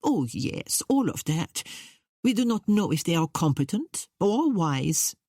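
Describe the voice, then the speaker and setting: An imperious middle-aged queen from a historical novel, acted out by a woman narrator. She is scornful and declarative, with a husky edge and swooping, animated pitch. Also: unhurried, no echo, studio quality, full character voice. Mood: self-assured.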